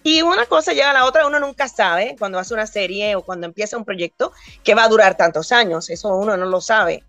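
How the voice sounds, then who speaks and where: A Cuban-born woman in her fifties, a voice actor, telling stories in an animated interview over a video call. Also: medium pitch, quick pace, no room sound, highs cut off, soft background music. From Cuba. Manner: animated, gestures a lot while talking. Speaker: voice actor guest. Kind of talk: remote interview, storytelling.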